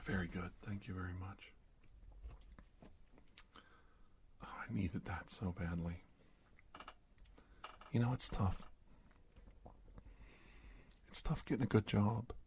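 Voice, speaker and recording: A man playing a polite, nervous middle-aged investigator in an ASMR roleplay, soft-spoken and halting, often slipping into a whisper. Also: slight background noise. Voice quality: husky, breathy, gravelly low voice. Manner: halting, hesitant, courteous, deliberate phrasing.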